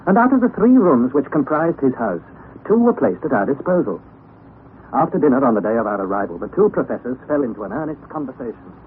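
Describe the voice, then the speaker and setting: Casting: male narrator, expressive, vintage radio-drama sound. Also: medium pitch. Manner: measured storytelling, expressive. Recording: phone-like narrow 1960s radio broadcast with faint hiss.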